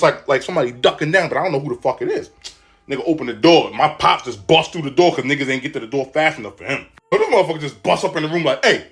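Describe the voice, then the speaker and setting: A man telling a story in casual, slangy, animated style, often checking in with the listener. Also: on the high side.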